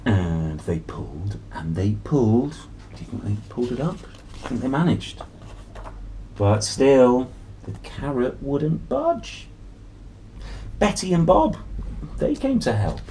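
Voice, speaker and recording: A man hosting a picture-book read-aloud, telling the story in a playful, exclamatory way with drawn-out phrases and chatty asides to children. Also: medium pitch, sing-song, dark tone, a little hiss or hum, low-quality recording. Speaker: host reading picture books aloud.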